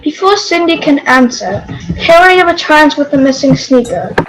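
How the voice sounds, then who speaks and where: A woman's voice: sits high, lively intonation, normal speed, a crowd in the background, low-quality recording. A woman reading scripted narration as a story narrator.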